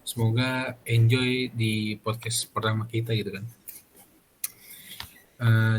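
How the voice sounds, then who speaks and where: A young man hosts a student podcast. His mid-pitched voice stays fairly monotone while he chats informally. There is light noise in the online recording. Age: young adult. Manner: informal, slangy, frequent "gitu kan" tags. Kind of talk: casual podcast chat.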